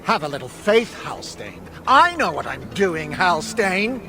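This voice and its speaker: High, creaky, wry male dwarf. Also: big pitch swings, unhurried, sharp tone, soft background music, full character voice. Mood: bickering. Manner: dry, teasing, grumbling. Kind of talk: conversational video game acting.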